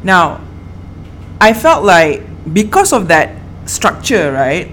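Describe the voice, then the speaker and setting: A woman with a slightly low voice hosts a casual book chat. She is informal and conversational, often turning inquisitive. Faint noise sits behind her. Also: sing-song, slow, a bit bright. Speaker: book review host asking questions.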